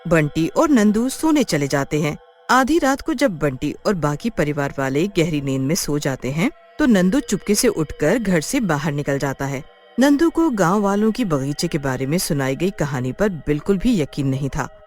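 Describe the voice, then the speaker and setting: Low, animated woman storyteller. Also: normal speed, soft background music. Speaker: narrator. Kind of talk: scripted children's story narration.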